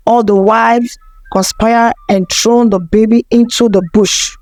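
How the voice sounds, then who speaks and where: Slow, high-pitched woman storyteller. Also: steady tone, a little mellow, dry recording. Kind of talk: scripted folktale narration.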